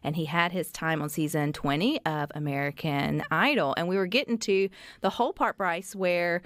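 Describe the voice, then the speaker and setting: Female radio host, lowish pitch, chatty and teasing, dry close studio mic. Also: normal speed, no echo. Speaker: radio talk show host. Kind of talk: talk show interview. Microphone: studio mic with headphones.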